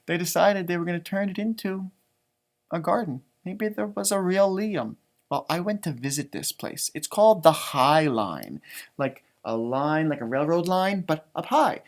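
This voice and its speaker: A man with a fairly light, high-set voice leads a storytime for young children. He is chatty and enthusiastic, speaks directly to his listeners, and draws words out for emphasis in the casual way of an elementary school teacher. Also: expressive, moderate pace. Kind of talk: casual storytime read-aloud talk for children.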